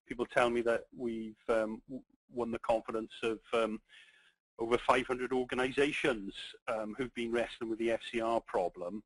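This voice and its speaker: A middle-aged man with a Welsh accent presenting a business webinar, pausing often with ums and restarting his sentences. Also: medium pitch, steady tone, husky, no echo, rough recording. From Wales. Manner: halting, many ums and restarts.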